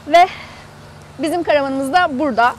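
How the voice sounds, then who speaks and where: Female travel vlogger, high voice, chatty and explanatory, casual walk-around tour delivered outdoors. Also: normal speed, slight background noise. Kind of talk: casual guided tour to camera. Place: outdoors in an open camper park lot.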